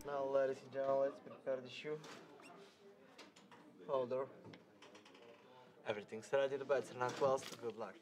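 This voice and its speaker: A man's voice: medium pitch, fairly even intonation, normal speed, pauses often. A young man working as a casino dealer, courteously announcing the game in formal set phrases. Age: young adult. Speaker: live casino blackjack dealer. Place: indoor casino studio. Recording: quiet, distant, chatter behind.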